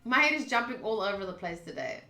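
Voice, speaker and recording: A woman reads a visual novel aloud in a bright, forward voice and casual streamer style. The recording is roomy and echoing, with music playing behind her. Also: mid-range voice, very animated, brisk. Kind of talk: narration read aloud with casual reactions. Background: game music.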